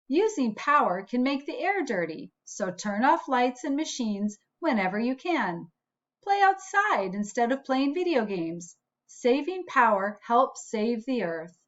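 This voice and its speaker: Slow, very animated woman reading to children. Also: fairly high pitch. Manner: deliberate, teacherly phrasing for young listeners. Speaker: school principal reading aloud to children. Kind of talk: slow, expressive children's read-aloud with asides. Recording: dull, lo-fi recording.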